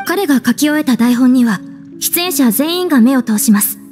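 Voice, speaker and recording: Polite, high-voiced theatre organiser. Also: female voice, expressive, normal speed, a little mellow. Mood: earnest, composed. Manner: polite, orderly explaining. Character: polite, organised young woman of a theatre troupe. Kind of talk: Japanese video game voice acting. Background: music.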